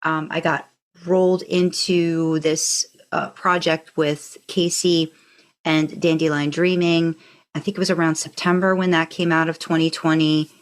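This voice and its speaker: A woman's voice: sits low, flat delivery, unhurried. A woman co-hosting a podcast, telling stories casually in an enthusiastic, rambling way. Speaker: podcast co-host. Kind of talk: casual conversational storytelling.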